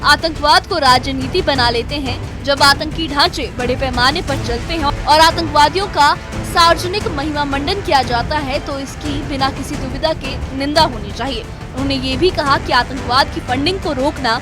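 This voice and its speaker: A woman's voice: high voice, normal speed, hardly any pauses. A woman reading a scripted news report as a reporter. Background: background music bed.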